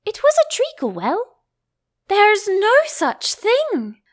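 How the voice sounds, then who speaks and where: A woman's voice: very high-pitched, wildly swooping pitch, takes it slowly, voice pushed up, heavily acted. A woman voicing a sleepy, sulky little dormouse that rambles drowsily in an audiobook. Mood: sulky, indignant.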